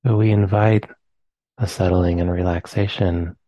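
A man with an American accent, leading a guided meditation in slow, soft phrases with long pauses. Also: sits low, fairly even intonation, dry recording, highs cut off. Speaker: meditation teacher.

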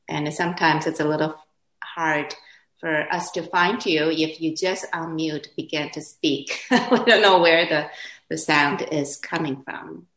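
A woman whose first language is Chinese, gently moderating an online class over a video call, hesitant with soft laughs. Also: deep voice, steady tone, measured pace. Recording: echoey room on a video call.

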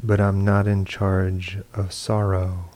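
A man's voice: low voice, completely flat delivery, slow, darkish tone. A man leading a guided meditation as a teacher, reading poems and reflections in sparse phrases with long silences. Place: meditation retreat hall.

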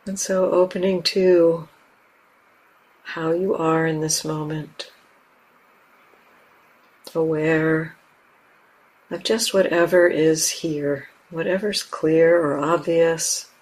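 A woman teaching guided meditation, speaking gently and unhurriedly in short phrases with long pauses. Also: low-pitched, fairly even intonation, poor audio. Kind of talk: guided meditation, unscripted instruction.

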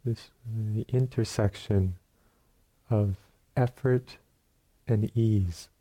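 A man leading a guided meditation as a teacher, speaking in short, unhurried phrases with long pauses between them. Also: lowish voice, steady tone, dark tone.

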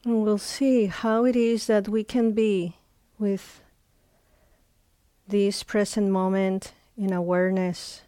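A woman leading a guided meditation as a teacher, speaking in short phrases with long, unhurried pauses. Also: mid-range voice, level tone, dark tone, clean tone, no echo.

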